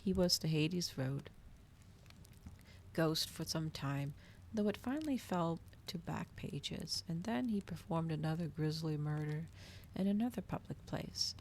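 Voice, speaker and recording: Woman, ASMR story reading, low pitch, breathy with vocal fry, dramatic narration, faint background noise. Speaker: streamer reading a novel aloud.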